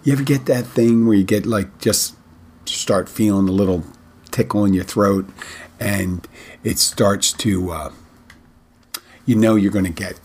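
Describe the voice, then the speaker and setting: Husky low-voiced man rambling on a podcast. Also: a little mellow. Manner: rambling, halting, many restarts. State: recovering from a head cold, slightly rough voice. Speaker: podcast host and bartender. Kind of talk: casual solo podcast talk.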